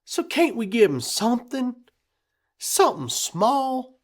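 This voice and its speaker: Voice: medium pitch, sing-song, a little slow, a bit bright, voice pushed up. A storyteller voicing a stubborn, tender rural mother in a rhyming tale, with a pleading, fiery drawl.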